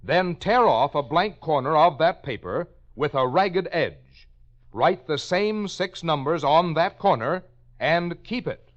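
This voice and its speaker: A man's voice: a little high, expressive, unhurried. A man working as a radio announcer, reading a formal, carefully enunciated script in 1950s broadcast style. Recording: muffled 1950 radio broadcast, faint music.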